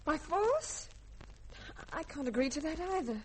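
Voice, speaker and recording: A woman's voice: sits high, very animated, unhurried. A woman acting an earnest, emotional young woman in love, in a melodramatic sci-fi radio play. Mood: pleading, distressed. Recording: hissy vintage radio drama recording.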